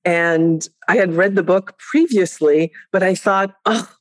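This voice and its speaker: A middle-aged woman with a French accent, chatting lively as a podcast guest and laughing often. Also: lowish voice, unhurried, no room sound. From France, raised in Spain. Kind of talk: casual interview chat.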